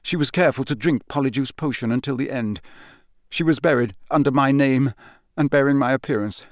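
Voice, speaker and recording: A man's voice: medium pitch, a little fast, no echo, studio quality, lightly acted. A man playing a dazed, coldly bitter young male prisoner who confesses in clipped, expressionless sentences. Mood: detached confession.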